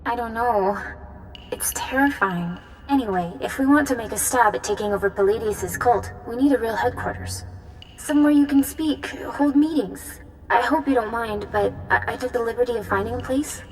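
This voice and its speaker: A woman's voice: a little high, normal speed, darkish tone, slight background noise, natural voice, low-quality recording. Earnest, respectful female follower played by an actress. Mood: deferential, earnest. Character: earnest, deferential, sharp-witted young cultist follower.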